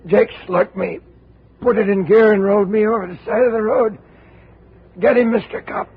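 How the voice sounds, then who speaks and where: A mortally hurt American crook gasping for revenge in an old radio play. The voice is high and extremely raspy, through thin, muffled vintage sound. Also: male voice, fairly even intonation, normal speed, full character voice. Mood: desperate, vengeful. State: gravely injured, gasping. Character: dying, vengeful truck-driving crook gasping his last words. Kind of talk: 1950s radio drama acting.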